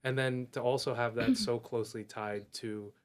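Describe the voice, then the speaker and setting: A man's voice: medium pitch, fairly even intonation, moderate pace. A man co-hosting a cycling podcast, easygoing and slangy in casual chat. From grew up in a Filipino family in the US. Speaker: podcast co-host.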